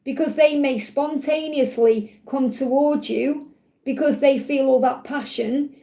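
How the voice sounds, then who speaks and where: A woman giving a live tarot love reading in gentle, repetitive, reassuring guidance. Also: high-pitched, unhurried, creaky. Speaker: tarot reader.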